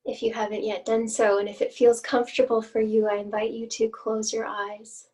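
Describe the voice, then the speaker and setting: A woman leading a guided meditation as an instructor, with gentle, inviting phrasing and long pauses, recorded at some distance from the mic. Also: fairly high pitch, flat delivery.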